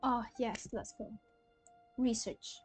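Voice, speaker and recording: A young woman game streamer with a fairly high voice. She chats casually and hesitantly, rambling and trailing off, with game music in the background. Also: takes it slowly. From Malaysia. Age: young adult. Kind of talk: casual livestream chat.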